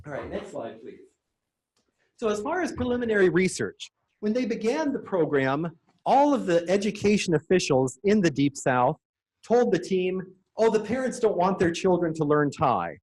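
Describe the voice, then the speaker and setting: High-voiced man giving an eager talk. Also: big pitch swings, normal speed, darkish tone, poor audio. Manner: enthusiastic, lightly joking. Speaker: presenter. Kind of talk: conference presentation with slides. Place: conference room.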